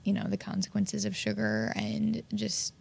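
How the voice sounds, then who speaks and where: A woman hosts a casual interview, speaking softly and hesitantly and reaching for words. Her voice carries heavy vocal fry at a middle pitch. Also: flat delivery, normal speed. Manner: soft-spoken, hesitant, many fillers. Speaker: podcast host, interviewer.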